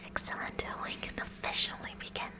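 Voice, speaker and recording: A woman playing a kooky, eager teenage science club president in a breathy whispered ASMR roleplay, speaking in a deliberate, mock-official way. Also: lightly acted. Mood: officious, eager. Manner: slow, deliberate, mock-official with awkward stammers.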